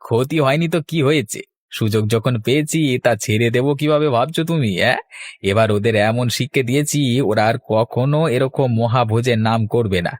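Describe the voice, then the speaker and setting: Man doing an excitable cartoon villager, medium pitch, dry recording. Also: a little fast, dry recording, natural voice. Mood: gloating. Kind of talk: Bengali cartoon voice acting.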